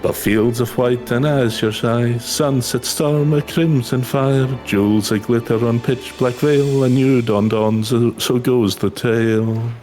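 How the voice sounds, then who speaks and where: A man voicing a gentle, earnest wandering minstrel from a video game, speaking in a solemn, measured, poetic way, with music behind him. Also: mid-range voice, steady tone, close to an everyday voice. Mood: lyrical, solemn. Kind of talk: video game dialogue read aloud.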